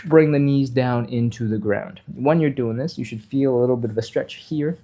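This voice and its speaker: Male fitness instructor for a livestream. Soft-edged, relaxed tone, a touch nasal from a slight cold, conversational step-by-step guidance. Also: mid-range voice, moderate pace. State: mild cold, a little sniffly. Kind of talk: casual live stretching instruction.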